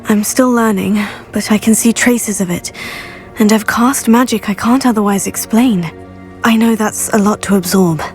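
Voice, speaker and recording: A woman playing a brave, curious teenage witch student in a video game, composed and direct with a slightly breathy voice. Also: mid-range voice, moderate pace, soft background music, lightly acted. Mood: calm, serious. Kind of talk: video game cutscene acting.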